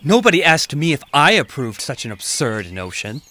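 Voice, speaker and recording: A man's voice: mid-range voice, big pitch swings, moderate pace, sharp tone. A man playing a vain, helpless, complaining king in a comic stage-style audio drama. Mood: indignant. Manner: pompous, boastful, complaining. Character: vain, whining, helpless old Welsh king.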